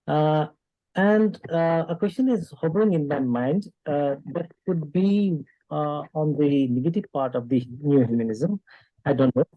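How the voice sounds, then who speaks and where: A man from Nepal with a Nepali accent, speaking off the cuff in a discussion in a halting, hesitant way with frequent pauses. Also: a little high, no room sound, narrow, tinny audio. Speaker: discussion participant. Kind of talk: unscripted discussion remarks.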